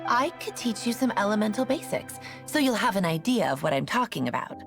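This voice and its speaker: A woman voicing a languid, teasing librarian mage in a video game, with a knowing, relaxed manner. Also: medium pitch, brisk, gravelly. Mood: coy, relaxed. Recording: loud game music underneath.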